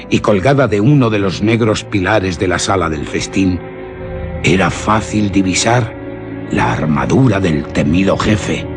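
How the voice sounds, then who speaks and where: A man with a deep, low-pitched voice narrates a gothic horror tale. His delivery is solemn and theatrical, in the literary manner of a radio drama storyteller. Faint music plays behind him.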